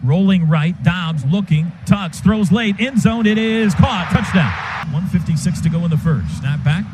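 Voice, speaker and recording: Radio football announcer, male, higher-pitched, clipped rapid calls, stadium noise under him. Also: expressive. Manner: clipped rapid calls, bursts to a shout on big plays. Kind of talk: live radio football play-by-play.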